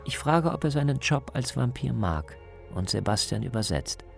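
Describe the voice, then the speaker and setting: A man's voice: medium pitch, sing-song, normal speed, creaky, soft background music. A man speaking as a reporter, reading scripted narration for a radio feature.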